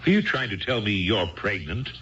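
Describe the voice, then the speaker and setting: An actor playing a cold, domineering bookish husband in a 1970s radio drama, curt, emphatic and imperious. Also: male voice, fairly low pitch, expressive, moderate pace, a bit bright. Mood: angry, threatening. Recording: dull lo-fi 1970s radio broadcast.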